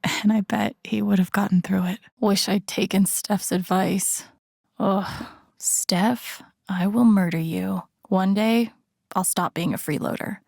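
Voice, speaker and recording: Young game heroine gal, earnest n a bit wry, gravelly fry, close dry sound, kinda thinking out loud. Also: female voice, medium pitch, fairly even intonation, measured pace, no room sound. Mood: wry, casual. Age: young adult. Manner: introspective, understated. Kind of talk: intimate inner-monologue game voice acting.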